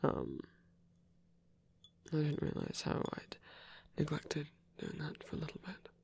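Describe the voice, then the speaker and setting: A man playing a gentle, caring old vampire priest in an intimate ASMR roleplay, speaking in a halting, bashful, breathy whisper. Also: a little high, very animated, full character voice. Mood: awkward, reassuring. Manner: halting, bashful, softly reassuring.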